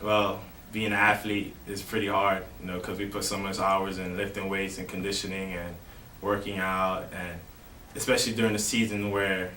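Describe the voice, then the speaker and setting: A young man from Miami, a college baseball player, answering interview questions in a relaxed way with lots of "you know". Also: deep voice, moderate pace, few pauses. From Miami, Florida. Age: young adult. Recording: roomy, distant mic with faint noise.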